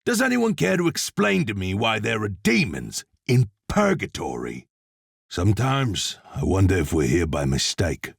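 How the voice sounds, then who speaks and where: Grim Old West blacksmith turned demon slayer, husky deep voice, dry wit, laconic, video game character. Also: male voice, wildly swooping pitch, measured pace, dry recording, heavily acted. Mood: dry, wry. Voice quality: gravelly, husky. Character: grim, sardonic cowboy blacksmith demon hunter, adult man.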